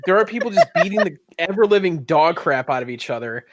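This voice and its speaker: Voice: medium pitch, sing-song, fast talker, dry recording, clean studio sound. A game master improvising tabletop narration and casual banter in a teasing, profane, dramatic way of phrasing.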